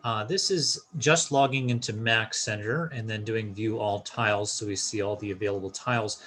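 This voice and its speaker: A man's voice: lowish voice, steady tone, moderate pace, a bit bright. A man presenting an informal step-by-step tutorial, explaining a screen share in a casual instructional style.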